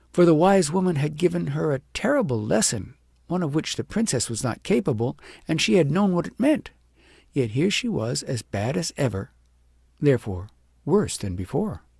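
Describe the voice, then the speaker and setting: Male audiobook narrator, expressive storytelling, very lively intonation, raspy, close dry sound. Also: fairly high pitch, dry recording.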